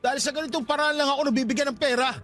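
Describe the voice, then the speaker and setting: A man's voice: very high-pitched, steady tone, racing, fairly bright tone. A man acting as a defensive, burdened grown son in an emotional radio drama. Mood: bitter. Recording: music playing behind the voice.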